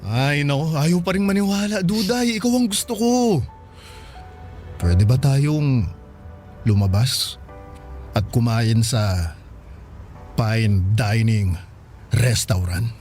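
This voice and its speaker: A man's voice: mid-range voice, animated, slow, pauses often, a little mellow, faint music behind. A man playing a shy, smitten young Filipino market porter in a radio drama, coaxing and pleading. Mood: shy, earnest. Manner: pleading, sheepish.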